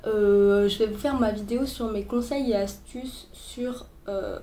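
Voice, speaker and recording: A woman's voice: medium pitch, steady tone, a little slow, dark tone, roomy sound. A young woman chatting casually in a sewing tutorial, full of fillers and restarts, a little stuffy from a cold, recorded on a phone. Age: young adult. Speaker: sewing vlogger. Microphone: boyfriend's phone.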